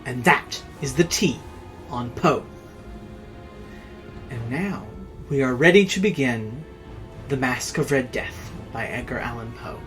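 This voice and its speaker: A narrator reading a horror tale in a theatrical, dramatic way with drawn-out emphasis. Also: medium pitch, animated, a bit bright, soft background music.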